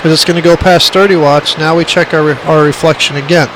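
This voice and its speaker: Man, fairly high pitch, informal demonstration, emphatic, repetitive, plainspoken, amateur phone audio, light background noise. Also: steady tone, quick pace. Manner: plainspoken, emphatic, repeats points. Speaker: radio hobbyist demonstrating equipment. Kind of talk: informal hands-on tutorial. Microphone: phone recording. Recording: clearly noisy amateur phone recording.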